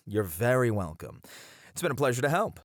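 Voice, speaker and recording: A man playing a passionate, playful college teacher with dramatic, expressive phrasing in an ASMR roleplay. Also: mid-range voice, moderate pace, no room sound, clean studio sound, natural voice. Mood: warm, encouraging. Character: flirty, theatrical, passionate male college teacher.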